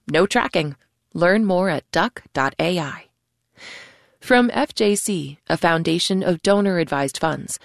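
A woman reading sponsor credits for a radio network in a crisp, even, informational way. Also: lowish voice, no room sound, clean studio sound. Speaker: sponsorship announcer. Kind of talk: scripted underwriting read.

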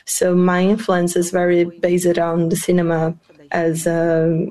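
A woman interprets a guest's answers into English in a plain, steady way. Her low voice stays flat and sounds mellow. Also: unhurried.

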